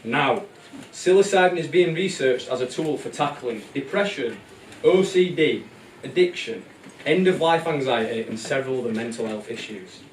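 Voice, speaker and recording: A man playing a whimsical, excitable storyteller, narrating a puppet show theatrically. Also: mid-range voice, normal speed, a little hiss or hum, close to an everyday voice. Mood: earnest. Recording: distant, echoey live show bootleg with music.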